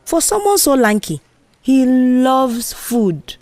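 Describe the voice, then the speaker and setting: A woman performs a bold young Nigerian office woman in an audio drama. She is mischievous and sure of herself, coaxing and brushing off objections in an animated, unhurried way. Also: high voice, pitched above the performer's own voice, heavily acted. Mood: confident, brash. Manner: animated, dismissive, persuasive. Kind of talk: audio drama character dialogue.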